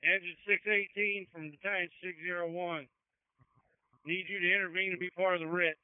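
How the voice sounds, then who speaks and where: Man, fire incident commander, high pitch, dry, terse orders, two-way radio. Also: level tone, fairly quick, clean tone, no echo. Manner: directive, procedural, terse. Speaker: battalion chief, incident commander. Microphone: two-way emergency radio. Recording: tinny, narrow-band fire radio transmission.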